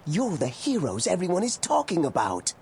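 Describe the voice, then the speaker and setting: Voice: mid-range voice, wildly swooping pitch, moderate pace, a little mellow, a little hiss or hum. An actor playing an anxious, deferential scepter bearer in a video game cutscene.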